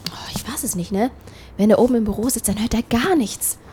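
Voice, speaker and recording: A slightly high-voiced young woman, played by an actress in an audio drama. She talks in casual youth slang, self-doubting yet caring, with some background sound behind her. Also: fairly quick, warm tone, slight background noise, natural voice. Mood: mildly annoyed. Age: young adult. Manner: colloquial youth slang with English bits. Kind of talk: naturalistic audio drama acting.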